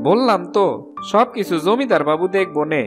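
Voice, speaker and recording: Man acting a brisk village steward over music. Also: high-pitched, animated. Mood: brisk, businesslike. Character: landlord's male steward, brisk village official. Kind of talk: cartoon fairy tale dialogue. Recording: background music under the voice.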